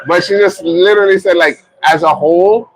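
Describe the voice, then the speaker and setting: A man's voice: sits high, steady tone, fairly quick, very noisy. A man with a Jamaican accent, chatting casually as host of a live stream. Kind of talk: casual chat.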